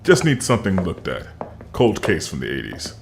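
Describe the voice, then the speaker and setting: A man playing a world-weary police detective in an audio drama, gruff, terse and dry. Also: low-pitched, wildly swooping pitch, normal speed, noisy background, heavily acted.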